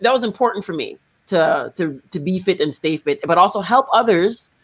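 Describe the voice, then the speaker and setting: A woman's voice: fairly low pitch, expressive, normal speed, a bit bright. A middle-aged woman from Canada, chatting emphatically as she hosts a music workshop over a video call from a home room. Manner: chatty and emphatic, talks with her hands. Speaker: singer hosting a music workshop. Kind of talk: casual video-call chat. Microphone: studio microphone on a video call.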